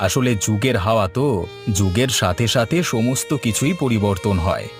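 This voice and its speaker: A man's voice: lowish voice, moderate pace, natural voice. A man voicing a cheerful village biryani shop owner in a cartoon, hearty and eager to please. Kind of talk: Bengali cartoon voice acting. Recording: background music.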